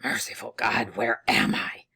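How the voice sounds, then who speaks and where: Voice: mid-range voice, fairly even intonation, measured pace, vocal fry, sharp tone, slightly rough, pitched below the performer's own voice, exaggerated voice. Stuffy, bewildered counselor put on by a narrator. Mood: anguished. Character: stuffy, bewildered male counselor, learned and anxious.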